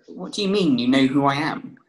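A man's voice: mid-range voice, expressive, brisk, natural voice. A young man playing a guarded, blunt, awkward schoolboy in a theatrical table read. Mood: puzzled. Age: young adult. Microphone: video call.

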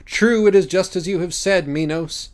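A man voicing a dignified woman author who sits as judge in an audiobook, formal and measured. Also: high voice, darkish tone, pitched above the performer's own voice, lightly acted. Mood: ceremonious. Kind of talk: audiobook character voice.

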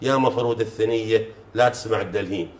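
A middle-aged man, a folk poet chanting verses in measured recitation during a casual sit-down talk in a living room, on a lapel mic. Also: on the low side. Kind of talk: reciting folk poetry in conversation. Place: living room sofa, indoors. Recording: roomy echo.

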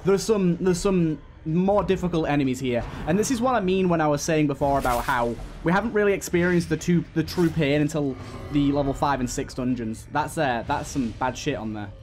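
A man's voice: on the high side, a little fast, a little mellow. A man giving casual gameplay commentary, thinking aloud through his tactics as he plays. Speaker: gaming channel host. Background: game music.

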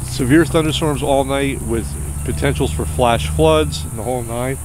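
A man in his forties vlogging outdoors from an RV campground, chatty and excitable, rambling with restarts. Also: medium pitch, moderate pace, background noise. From New Hampshire. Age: 40s. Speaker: RV travel vlogger. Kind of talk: casual vlog talk to camera.

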